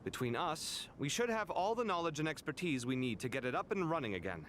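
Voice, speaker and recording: An actor playing a dry-witted, caring young scholar in an anime-style video game, wry and expressive. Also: male voice, a little high, a little fast, a little hiss or hum.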